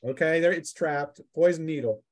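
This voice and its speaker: A man's voice: on the high side, moderate pace, no echo. A man acting as game master, casually describing scenes and rulings in a tabletop fantasy game.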